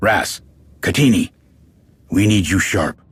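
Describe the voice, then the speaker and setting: A hard-edged clan commander with an extremely low, heavily rasping voice. He speaks firmly and bluntly, like a leader briefing soldiers in a video game cutscene. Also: animated, takes it slowly, heavily acted. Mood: commanding, businesslike. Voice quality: very deep, gravelly, husky. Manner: firm, blunt, authoritative. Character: stern gravel-voiced male military clan commander.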